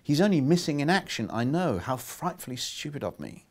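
A man's voice: a little high, very animated, brisk, pitched above the performer's own voice. A man reading a novel aloud as an earnest, well-mannered young American woman. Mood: apologetic. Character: earnest, polite young American woman, wartime ambulance driver. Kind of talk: audiobook-style character reading.

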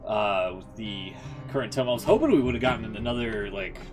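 A middle-aged man giving casual game livestream commentary, musing and halting, with some swearing. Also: fairly low pitch. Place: indoor streaming setup. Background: music.

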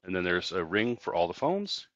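Male product trainer, low register, casual step-by-step tutorial delivery, dry and slightly lo-fi recording. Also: big pitch swings, normal speed, fairly bright tone, dry recording, soft background music. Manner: explanatory, step-by-step.

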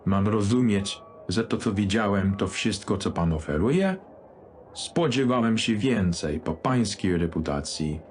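A man playing a demanding dignitary customer in a dark fantasy audio drama, speaking in a cool, curt and haughty manner. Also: fairly low pitch, animated, measured pace, slight background noise.

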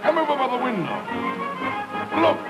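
An actor playing a pompous, boastful, excitable gentleman with an English accent in a 1950s radio drama. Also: very high voice, moderate pace, loud music behind the voice, full character voice. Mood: excited. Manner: pompous, blustering.

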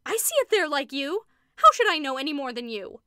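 A woman's voice: high-pitched, very animated, a little fast, pushed and bright, no echo, voice pushed up, exaggerated voice. A woman voicing a stern, tightly self-controlled woman who speaks curtly and dryly. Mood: tense curt. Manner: curt, dry, rigid.

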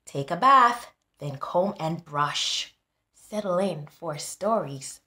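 A woman in her thirties reading a picture book aloud to young children as a tutor, expressive and deliberate, often prompting with questions, recorded in a small room. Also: on the low side, echoey room. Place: small plain-walled room indoors.

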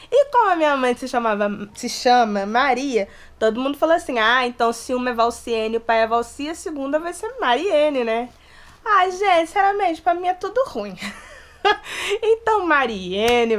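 A woman in her late twenties from inland Rio de Janeiro state, with a Brazilian accent that mixes Rio, São Paulo and Minas. She chats playfully to the camera in her bedroom. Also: high-pitched. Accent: Brazilian Portuguese, mix of Rio, São Paulo and Minas. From Resende, Rio de Janeiro state, Brazil. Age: 20s. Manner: chatty, playful, animated hand gestures. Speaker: YouTuber. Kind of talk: casual chat to camera. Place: small bedroom indoors. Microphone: camera close in front.

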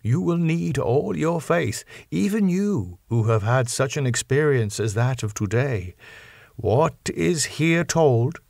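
A man voicing a grave, fervent old foreign professor who speaks solemn, halting, slightly broken English in an audiobook. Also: medium pitch, lively intonation, vocal fry, darkish tone, no room sound, clean studio sound, pitched above the performer's own voice, full character voice. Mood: grave, earnest. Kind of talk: audiobook character voice.

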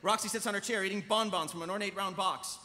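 High-voiced, fast-talking male narrator. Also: steady tone, sharp tone. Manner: brisk, dramatic. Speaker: stage-direction narrator. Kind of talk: scripted narration, live table read. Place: convention panel stage. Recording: echoey convention hall, audience noise.

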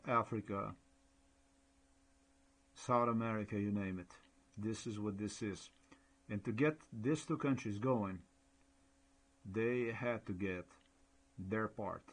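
Slovenian-accented man, halting commentary. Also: lowish voice. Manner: halting, repetitive non-native English. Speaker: blogger. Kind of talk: screen-share commentary.

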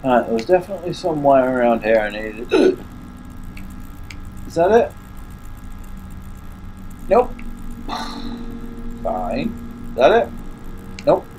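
A man with an English accent talks through a dark game in an unhurried, mid-pitched voice. His nose is blocked and hiccups keep breaking in as he mutters complaints to himself. Faint noise sits under the recording. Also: big pitch swings, low-quality recording. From England. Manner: muttering, grumbling asides to himself. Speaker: gaming YouTuber. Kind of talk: casual let's play commentary.

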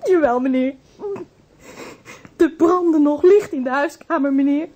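An actress playing a distraught, timid and deferential housekeeper in a Dutch radio drama, speaking haltingly. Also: female voice, high voice, dark tone, highs cut off. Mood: tearful, shaken.

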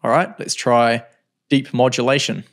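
A man in his thirties teaching music software in a home studio, explaining calmly with frequent ums. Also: medium pitch, unhurried. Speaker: music production tutor. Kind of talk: screen-recorded software tutorial.

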